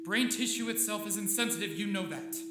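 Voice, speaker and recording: A man's voice: high voice, brisk, very noisy, close to an everyday voice. An actor playing a proud, obsessive middle-aged scientist and father in a theatrical, declamatory old-time radio style. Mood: proud explaining. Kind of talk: live old-time radio drama acting. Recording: roomy live stage, loud music behind.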